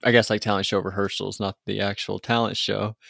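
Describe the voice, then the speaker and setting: A man's voice: lowish voice, little pitch movement, a little fast, creaky, a bit bright, dry recording, studio quality. A man co-hosting a podcast, casually recapping a TV episode in a rambling way with many fillers and restarts. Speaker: podcast co-host.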